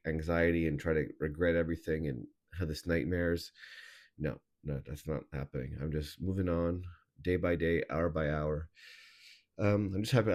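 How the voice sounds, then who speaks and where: A man talking in a halting, casual confessional monologue, his voice raspy and nasal from a hangover, with many fillers and restarts. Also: low voice, darkish tone. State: hoarse, nasal from a weed hangover. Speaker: vlogger.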